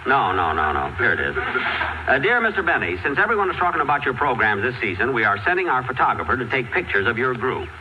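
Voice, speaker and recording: A man's voice: medium pitch, very animated, fast talker, natural voice. A man playing a vain, exasperated male comedy star in an old-time radio comedy style, fussy in his delivery. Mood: fussy, upbeat. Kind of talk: old-time radio comedy acting, club script performance. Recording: dull, very noisy amateur live recording.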